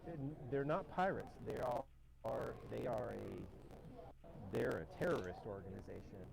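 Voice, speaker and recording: Gravel-voiced male co-host, rambling, on a noisy line. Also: mid-range voice, a little slow. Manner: rambling, restarts, explains at length. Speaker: podcast co-host. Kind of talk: casual podcast chat. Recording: noisy background.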